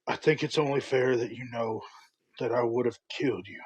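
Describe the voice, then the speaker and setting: A man playing an older, remorseful farmer father, speaking haltingly and earnestly in a motion comic drama. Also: medium pitch, breathy edge, lightly acted. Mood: solemn, grateful. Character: grieving, remorseful farmer father, humbly thankful.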